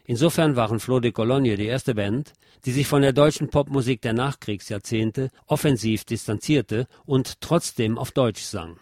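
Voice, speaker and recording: A man presenting a music history programme in scripted, measured and articulate documentary narration. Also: medium pitch, darkish tone, dry recording, studio quality. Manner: measured, articulate lecturing delivery.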